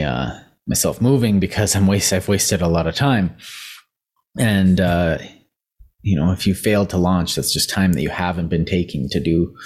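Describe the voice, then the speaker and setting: A young man with a notably deep, low-pitched voice talks through ideas on a casual podcast. He is hoarse from losing his voice and rambles, thinking aloud with frequent fillers and restarts. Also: darkish tone. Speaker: podcast co-host.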